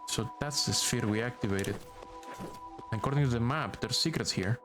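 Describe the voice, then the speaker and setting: A man from Venezuela with a thick Maracaibo accent streams a game and talks casually to his chat. He is a native Spanish speaker with a playful, chatty manner. His voice sits at a middle pitch, and game music plays clearly behind him. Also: normal speed. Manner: chatty, playful, reads on-screen text aloud. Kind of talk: casual live-stream commentary while gaming.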